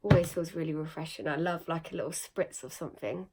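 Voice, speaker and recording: A young woman with a croaky, slightly nasal voice after being ill, chatting casually through a makeup tutorial with lots of fillers. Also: low-pitched, fast, background noise. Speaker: beauty vlogger.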